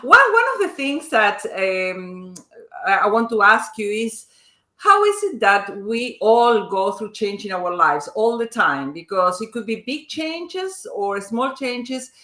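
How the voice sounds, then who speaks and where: Bright-voiced woman hosting on an echoey call. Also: medium pitch, big pitch swings, slow pace. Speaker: interview host. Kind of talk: interview over video call.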